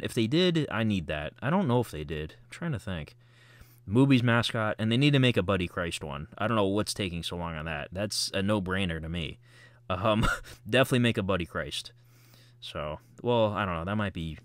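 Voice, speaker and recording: A low-voiced man talks through a toy unboxing in a loose, rambling way, filling pauses with ums and little laughs. His voice is smooth and resonant, and the sound is dry and close with almost no room in it. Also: a bit bright, no room sound. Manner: rambling, many ums, chuckles often. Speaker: collectible unboxing vlogger. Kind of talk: casual unboxing chat.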